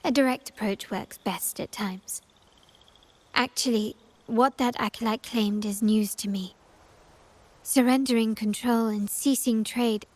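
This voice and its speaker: An actress playing a proud, poised young heiress in a video game, refined, formal and self-assured. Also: female voice, a little high, lightly acted. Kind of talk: dramatic video game voice acting.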